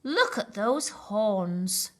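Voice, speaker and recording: Gloomy storybook rhino, a woman acting: self-conscious grumbler, forceful bright tone, big swings in pitch. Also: expressive, extremely slow. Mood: wistful. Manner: complaining, emphatic. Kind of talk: children's storybook reading.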